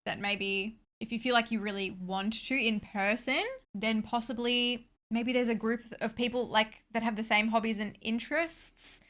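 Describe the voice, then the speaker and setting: A woman's voice: medium pitch, a bit bright. Bubbly woman in her late twenties. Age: young adult. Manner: bubbly, quick to laugh, reads out questions. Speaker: co-host of a reaction channel. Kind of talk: casual chat.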